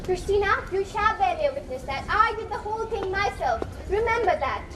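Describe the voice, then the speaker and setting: A young woman in a school stage play acting an anxious, pleading wife with a secret, in deliberate, stagey lines. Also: very high voice, expressive. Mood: desperate. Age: young adult. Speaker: student actor in a school play. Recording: distant mic, echoey room, noisy background.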